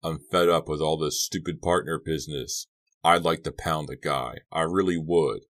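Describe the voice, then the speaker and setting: A man's voice: a bass voice, fairly even intonation, moderate pace, a bit bright. A man voicing a level-headed teenage boy who leads his friends and talks tough, firm and steadying, in an audiobook. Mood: grim resolve.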